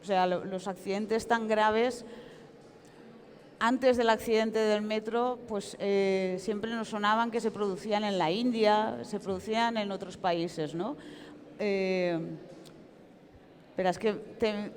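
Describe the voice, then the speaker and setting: A woman's voice: medium pitch, fairly even intonation, slow pace. A woman with a Valencian Spanish accent, frankly and emphatically telling an account as a journalist and author being interviewed in public. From Valencia, Spain. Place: large reverberant hall at comic convention. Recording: echoey hall with long reverb.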